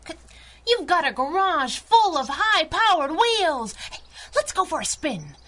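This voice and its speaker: A woman's voice: extremely high pitch, very animated, unhurried, a bit bright, full character voice. A woman playing a spunky, restless young female adventurer and sidekick, brash, slangy and teasing, in old-time radio adventure style. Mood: eager.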